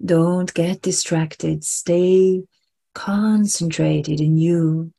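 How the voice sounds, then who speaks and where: Woman doing guided meditation, super slow, soft breathy voice, lots of pauses, really soothing. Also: on the low side, steady tone. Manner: soothing, deliberate, repetitive, with long pauses.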